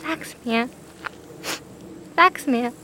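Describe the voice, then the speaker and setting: Thirteen-year-old girl, high voice, earnest, insistent, audio drama, faint noise behind. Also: drawn-out words. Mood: pleading, insistent. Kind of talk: German audio drama acting.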